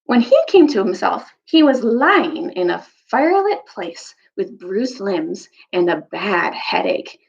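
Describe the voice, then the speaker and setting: A woman with a noticeably creaky voice at a middling pitch talks like a teacher sharing storytime. Her delivery is informal and halting, with phrases she breaks off and restarts. The recording sounds slightly dull and lo-fi. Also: big pitch swings. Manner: halting, informal, self-interrupting. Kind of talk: casual chatty storytime, reading a story aloud.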